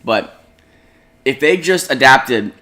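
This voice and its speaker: A man's voice: medium pitch, animated, takes it slowly, pushed and bright. A young man in a casual group chat, jokey and teasing. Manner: jokey, teasing, laughs often. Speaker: co-host.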